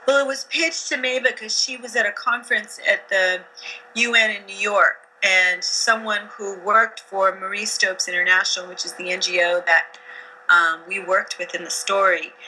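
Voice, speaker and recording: Woman from Afghanistan, documentary filmmaker, very bright voice, candid interview talk, frequent restarts. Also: medium pitch, fairly even intonation, moderate pace, slight background noise, low-quality recording. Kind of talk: interview answers.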